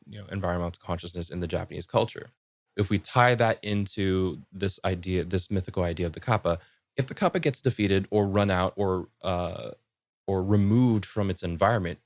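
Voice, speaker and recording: A man in a casual podcast discussion, explaining ideas in a halting way and repeating words as he thinks. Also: low-pitched, no room sound, clean studio sound. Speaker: podcast co-host discussing folklore.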